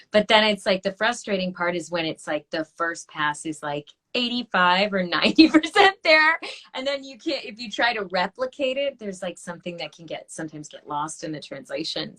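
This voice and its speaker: A woman's voice: lowish voice, fairly bright tone. A woman hosting a podcast interview, chatting casually and asking follow-up questions. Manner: conversational, asks follow-up questions. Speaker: podcast host.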